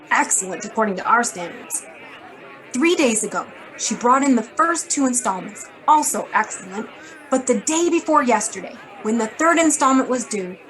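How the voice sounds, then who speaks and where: A sardonic woman editor in a noir radio play. The voice is fairly high, clipped and blunt, with some crowd murmur behind it. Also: a bit bright, low-quality recording. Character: brisk, sardonic, worried female magazine editor. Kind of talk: hard-boiled radio drama acting.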